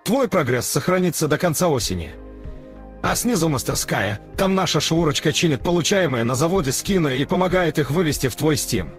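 A man's voice: on the high side, lively intonation, fairly quick, soft background music. A man reading a scripted promotional narration, addressing listeners in an upbeat, punchy way. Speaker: advertisement announcer.

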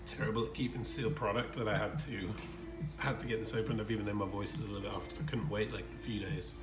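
A hoarse, croaky man hosting his card-opening channel in casual chat, often clearing his throat and pausing with fillers. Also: on the low side, fairly even intonation, roomy sound, far from the mic, over music. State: croaky, hoarse from screaming at a game. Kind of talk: casual unboxing commentary. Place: indoor room with collectibles on shelves.

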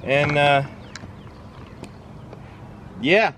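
A man's voice: fairly high pitch, extremely slow, a bit bright, a little hiss or hum. A middle-aged man chatting in a laid-back, joking way while doing roof repairs outdoors. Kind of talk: casual on-camera chat. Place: outdoors on a rooftop.

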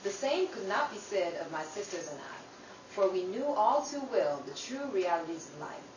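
A woman's voice: mid-range voice, very animated, normal speed, natural voice. Woman acting earnest young sister, echoey and noisy. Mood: earnest, reflective. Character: earnest, grateful youngest sister telling her Depression-era story. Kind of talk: old time radio drama acting. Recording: distant, echoey room with clear background noise.

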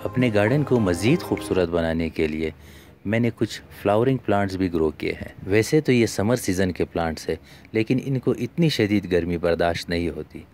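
A man's voice: low-pitched, lively intonation, fairly quick, a little mellow. This is a man. He narrates a garden tour for his video channel from a prepared script. Music plays behind his voice-over.

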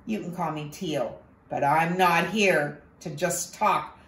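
A woman's voice: lowish voice, takes it slowly, fairly bright tone, recorded from a distance. A woman voicing a talking taro root that scolds and teases a boy. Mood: smug. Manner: bossy, scolding. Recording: roomy echo.

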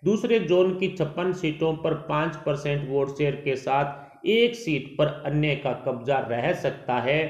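Echoey male news narrator. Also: mid-range voice. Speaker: narrator of an election survey report. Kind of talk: scripted news-style narration. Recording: dull, echoey voice-over recording.

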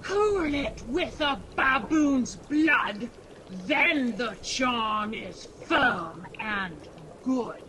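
A cackling old witch stirs her cauldron in a radio play, voiced by a woman. Her voice sits high and swings widely as she drags out every incantation. A steady hiss sits under the recording. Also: drawn-out words, full character voice. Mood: chanting. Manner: chanting incantations. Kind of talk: amateur radio drama acting.

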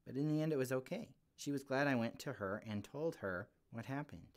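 A man's voice: mid-range voice, animated, fairly quick. A man voicing a friendly young puppet kid, speaking casually and earnestly as he gives a devotional for children. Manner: chatty, earnest, informal with frequent "like".

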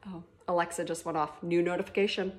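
A woman in her thirties reading a picture book aloud to children in an animated, expressive way, like a teacher at story time. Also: fairly low pitch, a little hiss or hum. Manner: expressive, with gasps and drawn-out words for children. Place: living room at home, on a couch. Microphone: phone camera about a metre away.

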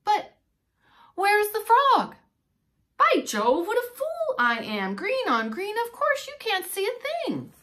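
A woman voicing a vain, excitable little female frog from a storybook in a theatrical, self-admiring manner. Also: very high voice, slow, a little hiss or hum, voice pushed up, exaggerated voice. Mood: exasperated.

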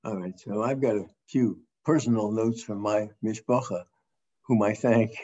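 An older man moderating a video call Q&A, reading out audience questions in a relaxed, conversational way. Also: lowish voice, normal speed. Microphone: video call webcam. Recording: dull lo-fi call audio.

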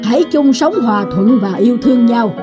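A woman's voice: fairly high pitch, lively intonation, a little fast, music in the background, lightly acted. A woman playing a stern, wise goddess of love in a cartoon, solemn and admonishing. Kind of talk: Vietnamese cartoon dialogue.

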